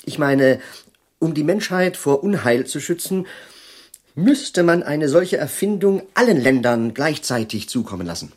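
An actor playing a polite, idealistic and absent-minded professor in a German radio drama, speaking formally and haltingly. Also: male voice, fairly high pitch, animated. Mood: earnest, thoughtful.

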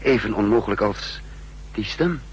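An actor playing a tormented, anxious spy in a 1960s radio drama, brooding in halting, repetitive inner monologue. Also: male voice, fairly low pitch, animated, breathy edge, rough recording. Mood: haunted, brooding. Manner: brooding, halting, repeating words. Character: tormented male spy, anxious, haunted by memories. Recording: hissy, humming 1960s radio recording.

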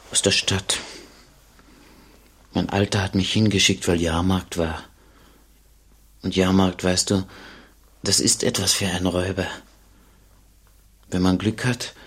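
Husky, low-voiced robber's boy. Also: little pitch movement, takes it slowly, pauses often, a bit bright, heavily acted. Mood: hungry, sly. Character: poor, hungry, streetwise young robber's son. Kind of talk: radio drama dialogue.

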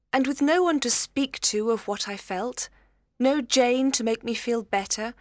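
A woman's voice: fairly high pitch, no echo, clean studio sound, natural voice. A woman voicing a clever, candid young woman who speaks in an earnest, confiding way.

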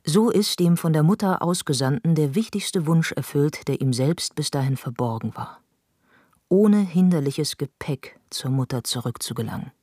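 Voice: mid-range voice, animated, moderate pace, dark tone, slightly rough, no room sound, clean studio sound. A narrator reading scripted literary prose in a radio play.

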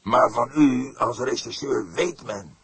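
A man's voice: medium pitch, takes it slowly, husky, dry recording, voice pushed up, exaggerated voice. A man voicing an indignant, solemn father of the church in an audiobook, speaking with emphatic, formal deliberation. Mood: fierce. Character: fierce, pained elderly pastor, indignant and solemn. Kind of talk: audiobook character voice.

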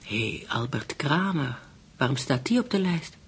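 An actress playing a recently widowed, grieving woman in a radio play, husky and breathy, with a subdued, intimate manner. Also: very deep voice, animated, a little slow, slight background noise. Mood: polite, guarded. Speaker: actress and first-person narrator. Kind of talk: radio play acting and narration.

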